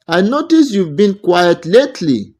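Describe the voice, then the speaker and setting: A man voicing a gentle, patient city suitor in a folktale, speaking with reassurance. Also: sits high, very animated, unhurried, heavily acted. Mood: gently concerned.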